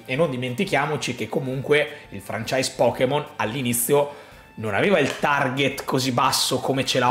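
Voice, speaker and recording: A man, giving an expressive, emphatic explanatory commentary to viewers as a video host. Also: mid-range voice. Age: 30s. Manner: expressive, emphatic, with rhetorical questions. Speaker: video host, gaming content creator. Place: small indoor room set up as a gaming studio. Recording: roomy sound with faint music underneath. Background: faint music.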